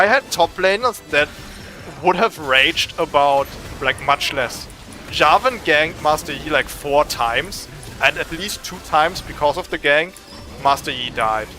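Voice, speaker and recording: A young man with a German accent, giving excitable, swearing, trash-talking gameplay commentary. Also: fairly high pitch. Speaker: gaming streamer.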